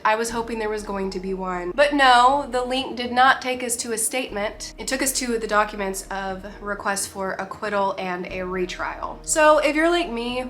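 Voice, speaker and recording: A woman's voice: mid-range voice, slight background noise. A young woman with a nasal, congested voice and short of breath, giving casual, opinionated commentary as a vlogger. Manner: chatty, opinionated, animated.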